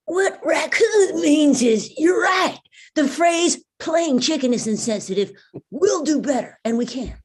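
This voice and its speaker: A woman voicing a small, earnest, apologetic cartoon animal in a table read, husky and fussily placating. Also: high voice, expressive, unhurried, darkish tone.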